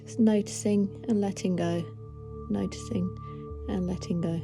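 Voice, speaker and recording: A woman leading a guided meditation in a gentle, soothing, unhurried manner of instruction. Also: mid-range voice, steady tone, creaky, over music. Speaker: meditation guide, podcast host.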